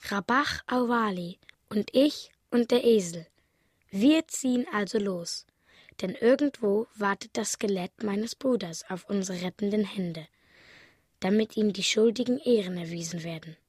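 A woman's voice: medium pitch, unhurried, darkish tone, husky, no echo, clean studio sound. A woman voicing an earnest, wondering young village boy in a radio play. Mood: earnest, curious.

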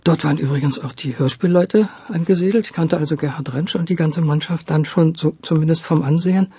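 A woman over seventy reminiscing as an interview guest, thinking aloud in a halting, thoughtful way. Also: low voice, mellow, slightly rough, no echo. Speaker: interview guest, radio drama director.

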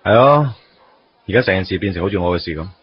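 A man playing a soft-hearted, sardonic young lover in a Hong Kong Cantonese radio drama, in an understated way. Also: deep voice, animated, fast talker, slight background noise. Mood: dry, grudging. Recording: muffled, guitar music underneath.

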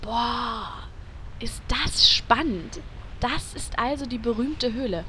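Actress as keen female adventurer, bright tone, raised pitch, faint noise, comic radio play. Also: takes it slowly. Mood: excited. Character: keen female adventurer rallying her party. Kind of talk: comedic fantasy audio drama parody.

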